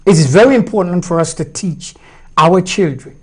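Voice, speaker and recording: A man's voice: high-pitched, darkish tone, husky. Reflective older man, guest from Jamaica. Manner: reflective, slow and hesitant. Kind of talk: casual interview conversation.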